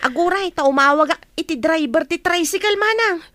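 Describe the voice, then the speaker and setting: A woman's voice: very high-pitched, fairly quick, sharp tone, no echo. A woman playing a concerned, helpful younger village neighbour in a radio drama.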